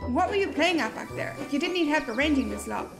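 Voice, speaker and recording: A no-nonsense old railway van, female and brisk, scolds in a high, rapid voice, as a man puts her on. Loud music plays behind her in this storybook reading. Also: animated, gravelly, exaggerated voice. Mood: scolding. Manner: sharp, scolding, quick.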